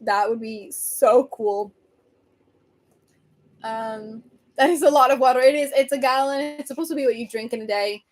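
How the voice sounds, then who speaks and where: A woman hosting a casual livestream, rambling and answering viewer comments, her voice getting hoarse from talking so much. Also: a little high, moderate pace, pauses often. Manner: chatty, rambling, reads and answers comments, laughs often. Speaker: livestream host chatting with viewers.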